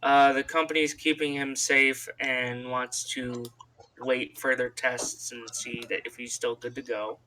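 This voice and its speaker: Bright, forceful man doing podcast promos. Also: mid-range voice, level tone, measured pace, a little hiss or hum, poor audio. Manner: informal promotional patter, addressing listeners as folks. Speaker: podcast co-host. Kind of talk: casual sponsor read and banter on a wrestling podcast.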